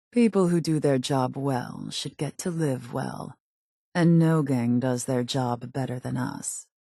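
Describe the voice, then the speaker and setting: A woman's voice: low voice, creaky, mellow, breathy edge, dry recording, exaggerated voice. A woman playing a cool, calculating raider gang boss in a video game, speaking in a composed, haughty, articulate way with dry menace. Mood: smug, disdainful. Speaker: gang leader in a post-apocalyptic video game.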